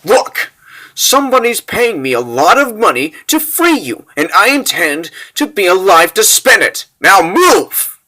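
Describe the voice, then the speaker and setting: A man voicing a brash, sardonic mercenary woman in a comic book dub, curt and deadpan. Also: high voice, measured pace, heavily acted. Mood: impatient. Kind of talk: comic book dub, dramatic character voices.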